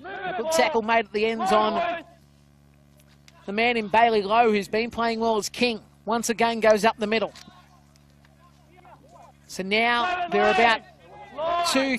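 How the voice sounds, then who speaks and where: A man giving loud, excited play-by-play commentary on a rugby league match from an outdoor ground. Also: very high voice. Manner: loud voice, calls play excitedly, many "uh" fillers.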